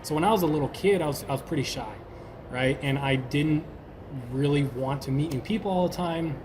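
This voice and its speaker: A man's voice: a little high, brisk. Enthusiastic young man, noisy room. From Sweden. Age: 20s. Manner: enthusiastic, casual, says "dude" and "man". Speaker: podcast guest. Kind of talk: casual interview chat.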